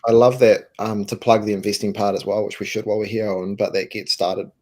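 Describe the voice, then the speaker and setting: A croaky-voiced man from New Zealand, a company co-founder, talking emphatically and casually with many restarts. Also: lowish voice, flat delivery, a little fast, a little mellow. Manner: emphatic, repeats words, restarts. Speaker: co-founder and co-CEO, guest. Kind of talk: casual interview answers.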